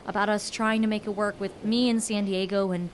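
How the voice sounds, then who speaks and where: Young woman played by a female actor, sincere yet dryly witty, gentle delivery, mid-range voice, faint noise behind. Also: mid-range voice, level tone, fairly quick, close to an everyday voice. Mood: tender, regretful. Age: young adult. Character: earnest, dry-witted young woman, marine science student. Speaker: actor in an audio drama. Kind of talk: naturalistic audio drama dialogue.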